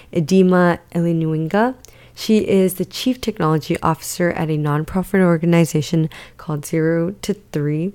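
A woman's voice: fairly low pitch, mellow, dry recording. A woman hosting a podcast, reading a guest introduction and then eagerly asking questions. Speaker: podcast host.

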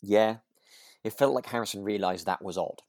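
A man co-hosting a relaxed discussion podcast, reflective and halting as he rephrases his thoughts. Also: fairly low pitch, dry recording, studio quality. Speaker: podcast co-host. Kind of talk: relaxed radio drama discussion chat.

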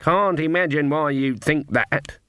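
A man voicing a stern, sharp old woman witch who talks in a curt, blunt way. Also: on the high side, a little slow, clear, resonant tone, no room sound, clean studio sound, pitched above the performer's own voice. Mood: dry.

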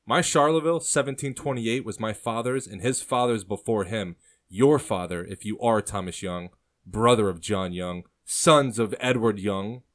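A man voicing a calm, firm young man who speaks plainly and truthfully. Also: fairly low pitch, normal speed, lightly acted. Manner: firm, unfazed.

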